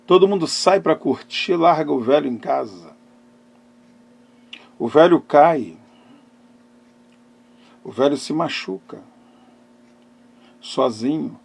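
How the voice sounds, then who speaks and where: A middle-aged man preaching and giving personal testimony as a pastor, emphatic, with dramatic pauses and swings between loud and hushed phrases. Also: fairly high pitch, gravelly, slightly rough. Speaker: pastor and therapist hosting a live talk.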